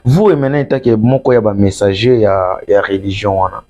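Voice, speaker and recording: A man's voice: on the low side, a little fast, a little mellow, highs cut off. A man with a Congolese accent hosting a conversational interview, often interjecting and echoing what his guest says. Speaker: interviewer, host. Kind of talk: conversational interview, mixing French and Lingala.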